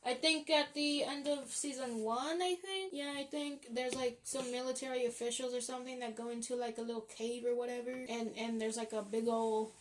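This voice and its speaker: A young woman with a fairly high voice chats as a podcast host. She explains in a casual, rambling flow, leaning often on filler words like "like" and "basically". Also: fairly even intonation, fairly quick, hardly any pauses, slight background noise. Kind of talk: casual explanatory chat among friends.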